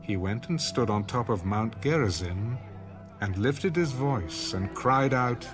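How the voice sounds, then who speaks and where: A man narrating scripture from a script in a measured, formal storytelling manner. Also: medium pitch, animated, over music. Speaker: audio Bible narrator.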